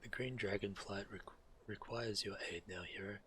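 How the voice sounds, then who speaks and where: A man's voice: fairly low pitch, normal speed, fairly bright tone, slight background noise. A man who streams games, reading fantasy quest dialogue aloud. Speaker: game streamer. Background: game music.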